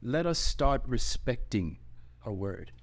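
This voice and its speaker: A man performs a calm warrior brother at a medium pitch. He is conciliatory and solemn, with formal phrasing for a fantasy drama reading. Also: slow. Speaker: actor in a table read. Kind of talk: dramatic screenplay table read.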